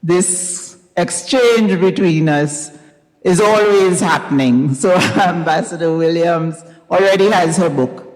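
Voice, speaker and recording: A woman's voice: lowish voice, slow, a little mellow. A woman with a Grenadian accent giving an informal thank-you speech on stage as the author hosting a readings event. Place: university recital hall. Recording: echoey hall, audience noise, distant ambience.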